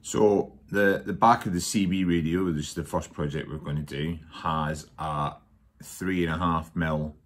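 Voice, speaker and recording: Guy w really really deep voice doing a diy tutorial at home, kinda halting n matter of fact. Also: steady tone. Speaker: DIY workshop presenter. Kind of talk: informal hands-on electronics tutorial. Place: indoors at a dining table at home.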